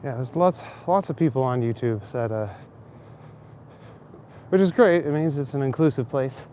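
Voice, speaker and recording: A man's voice: mid-range voice, lively intonation, normal speed, mellow, slight background noise. Rambling Ontario Canadian man outdoors. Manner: rambling, joking, chuckles often. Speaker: streamer talking to chat. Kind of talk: casual walking livestream chat. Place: outdoor city square in winter.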